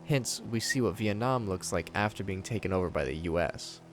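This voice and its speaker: A man's voice: low-pitched, lively intonation, normal speed, faint music behind. A man hosting a video recap, narrating scripted commentary on horror episodes.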